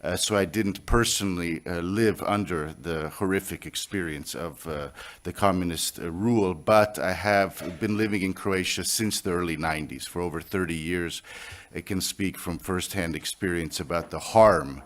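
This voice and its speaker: A man with an American accent giving a prepared speech at a conference, measured and pausing often on "uh" between phrases. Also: deep voice. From United States. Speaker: conference speaker.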